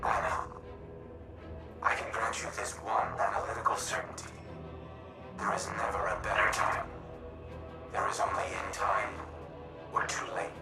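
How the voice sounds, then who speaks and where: Game AI role: ancient war intelligence, low-voiced, grave and formal, weighted with remorse, echoing over loud music. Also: male voice, flat delivery, vocal fry. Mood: grave, remorseful. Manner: measured, formal, solemn. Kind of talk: scripted video game dialogue. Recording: game music behind, roomy echo.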